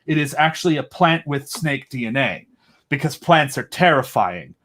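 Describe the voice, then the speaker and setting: A man chatting as a guest on a video call, excitedly rambling as a fan about his monster designs. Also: mid-range voice, normal speed. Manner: enthusiastic, rambling fan talk. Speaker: podcast host and audio drama creator. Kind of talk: casual video chat interview.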